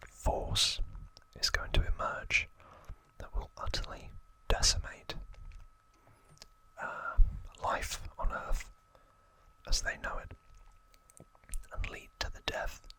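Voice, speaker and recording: A man speaks in a breathy ASMR whisper with a very bright, crisp edge. He explains things slowly and deliberately, leaving pauses between short hushed phrases, as a host guiding the listener along. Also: poor audio. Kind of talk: whispered ASMR explainer, scripted-feeling trivia retelling with asides.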